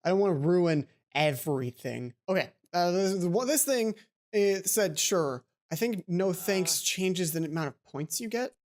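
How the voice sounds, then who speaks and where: A woman's voice: fairly low pitch, lively intonation, moderate pace, darkish tone. A woman hosting a let's play video in a casual, rambling, self-deprecating way, given to exclamations. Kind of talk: casual game commentary with read-aloud dialogue. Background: game music.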